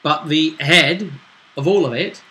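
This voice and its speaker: A man's voice: a little high, unhurried, sharp tone, rough recording. A man acting as game master, recapping a tabletop role-playing session in an explanatory, conversational way with frequent tag questions. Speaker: game master and show host.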